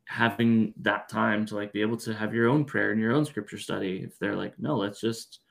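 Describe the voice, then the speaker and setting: Guy cohosting a podcast, low gravelly voice, rambles thoughtfully. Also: steady tone, brisk. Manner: thoughtful, rambling with many fillers. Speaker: podcast co-host. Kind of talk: casual podcast chat.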